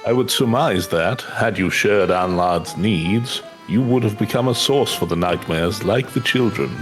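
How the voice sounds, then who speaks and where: A man voicing a solemn, formal dream-eating creature in a fantasy game, calm and measured. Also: lowish voice, over music, lightly acted.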